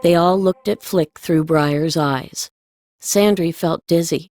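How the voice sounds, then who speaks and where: A woman's voice: fairly low pitch, slow pace, darkish tone, no echo, studio quality, soft background music. A woman reading scripted storybook narration as an audiobook narrator.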